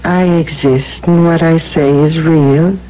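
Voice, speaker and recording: A man's voice: on the high side, steady tone, slow, slight background noise. A man playing a mysterious disembodied being in a radio drama, cold, commanding and scornful. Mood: cold, domineering. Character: mysterious commanding voice from inside a typewriter. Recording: dull old radio recording.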